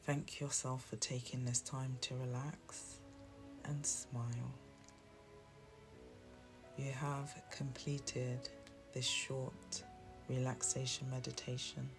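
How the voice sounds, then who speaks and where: A soothing, hushed voice guiding a relaxation meditation with deliberate, long pauses between phrases. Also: medium pitch, little pitch movement. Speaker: meditation guide. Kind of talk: guided meditation, scripted narration. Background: soft instrumental music.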